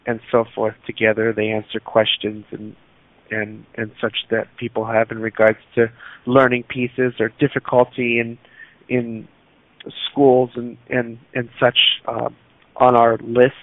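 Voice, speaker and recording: A man from Los Angeles calling into a group chat, talking haltingly with many restarts. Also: medium pitch, monotone, no echo. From Los Angeles, USA. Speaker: caller. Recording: tinny phone line, dry.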